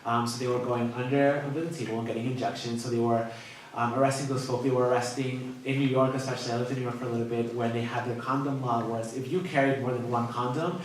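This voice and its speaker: A man's voice: medium pitch, level tone, quick pace, a little hiss or hum. A man on a discussion panel, explaining in a casual way and often checking in with "right?". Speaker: panelist, organizer. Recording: echoey hall from far off.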